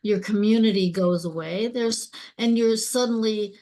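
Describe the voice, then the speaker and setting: A woman's voice: medium pitch, a little slow, dark tone. An older woman, founder and host of an online group, talking through a casual discussion in a reflective, personal way.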